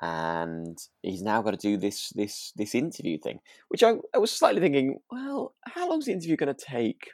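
A man's voice: mid-range voice, lively intonation, moderate pace, few pauses, a little mellow, dry recording, studio quality. A British man co-hosting a podcast in casual chat, agreeable and rambling, often hesitating as he goes. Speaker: podcast co-host.

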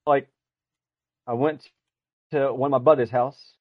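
A man guesting on a podcast over an internet call, soft-spoken and hesitant, often laughing. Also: mid-range voice, steady tone, measured pace, dry recording. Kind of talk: casual chat. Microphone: headset over internet call. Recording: dry, dull lo-fi internet call audio.

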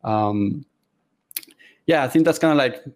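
A man's voice: mid-range voice, a little slow. Earnest man from Ecuador on a call. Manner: earnest, laughs often, many fillers. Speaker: guest 3D artist. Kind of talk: casual interview answers.

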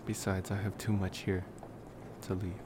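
A man playing a gentle, wistful college vampire boyfriend in an intimate ASMR roleplay, rambling hesitantly in a soft-spoken way. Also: low-pitched, flat delivery, moderate pace, noisy background, close to an everyday voice.

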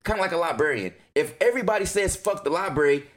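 A man co-hosting a podcast in casual chat, with a loud, booming voice and an emphatic, long-winded way of talking. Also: lively intonation, fast. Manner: emphatic, talks at length. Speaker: podcast co-host.

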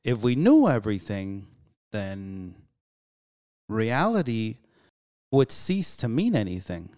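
A man with a smooth, clear voice talking through his thoughts in an earnest, musing monologue. He pauses and restarts often as he works out each idea. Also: lowish voice, sing-song, mellow. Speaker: podcast host. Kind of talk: solo reflective monologue.

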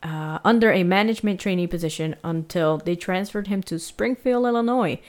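A woman with a slight Spanish-tinged accent talks through a true crime story in a casual, chatty way. She uses lots of fillers and small laughs. Her voice sits a bit low for a woman. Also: fairly quick. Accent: Spanish-influenced. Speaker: podcast co-host telling the story.

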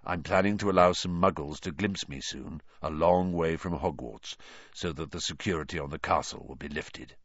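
A man voicing a fugitive wizard godfather reading out his letter in a measured, earnest way. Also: extremely low pitch, fairly bright tone, no echo, lo-fi sound, pitched below the performer's own voice, exaggerated voice.

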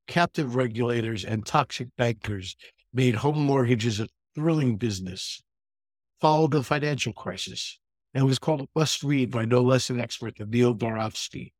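A man's voice: mid-range voice, dry recording. A man hosting an interview, delivering a prepared introduction and putting questions to a guest. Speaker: interview host.

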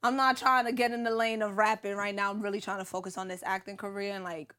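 A young woman with a New York accent, chatting as a guest in an interview, brash and quick to laugh and swear. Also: mid-range voice, flat delivery, pushed and bright. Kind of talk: casual interview chat.

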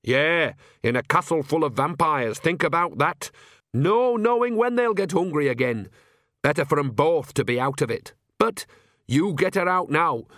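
Storybook role: bossy old village witch played by a man, piercing and higher-pitched, teasing, earthy and blunt. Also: animated, normal speed, fairly bright tone, no echo, clean studio sound. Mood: urgent, bossy. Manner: rustic colloquial speech, teasing, blunt. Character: bossy, cheerful, bawdy old woman witch.

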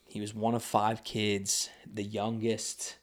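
Young guy on a podcast, kinda low voice, rambly and loose, lots of um and like. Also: completely flat delivery, slow. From United States. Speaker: podcast guest. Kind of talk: casual conversation. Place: podcast studio.

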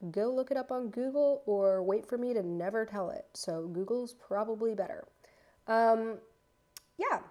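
A woman's voice: on the high side, unhurried, warm tone. A woman in her thirties from the United States hosting a casual solo podcast from her apartment, chatty and a bit nervous, rambling and correcting herself as she goes. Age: 30s. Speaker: podcast host.

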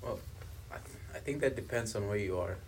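A man's voice: fairly low pitch, brisk, slight background noise. A young man from South Africa whose first languages are Swahili and Kibembe, chatting casually as an interview guest. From South Africa, born in Congo. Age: young adult. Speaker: podcast guest, university student.

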